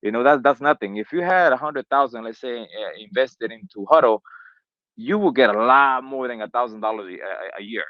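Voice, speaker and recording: A man's voice: mid-range voice, a little fast, dry recording. A man from Honduras talking casually on an online call, rambling with many restarts and fillers. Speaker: community member on a crypto call. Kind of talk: casual chat. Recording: dull lo-fi call audio.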